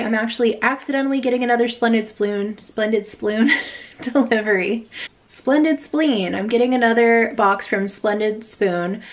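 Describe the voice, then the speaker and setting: Casual, rambling woman vlogger. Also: mid-range voice. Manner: informal, rambling, thinking aloud. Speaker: dog walker. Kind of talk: casual chatty vlog talk.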